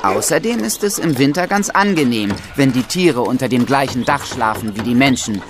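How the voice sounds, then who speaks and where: A man's voice: medium pitch, expressive, normal speed, background noise, natural voice. A young man playing an eager, proud medieval squire who explains things to visiting children in an audio drama. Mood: explaining. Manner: explanatory, enumerating.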